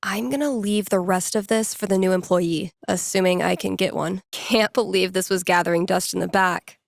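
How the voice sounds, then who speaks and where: An actress playing a wry, nerdy young record-store clerk and radio DJ in a video game, muttering to herself in a dry, sardonic, conversational way. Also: female voice, mid-range voice, steady tone, normal speed, gravelly, no room sound, close to an everyday voice. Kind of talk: naturalistic video game voice acting.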